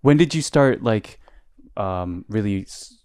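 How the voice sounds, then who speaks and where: Man host, relaxed, casual questions, dry close mic. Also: fairly low pitch, slow pace, clean tone, no echo. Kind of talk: casual interview chat.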